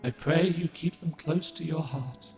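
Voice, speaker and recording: An ancient moon guardian spirit from a game speaks in formal, old-fashioned phrases, gentle and measured. His voice sits slightly high for a man. A long, roomy echo trails every line. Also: faint music behind. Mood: solemn. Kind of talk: game cutscene dialogue.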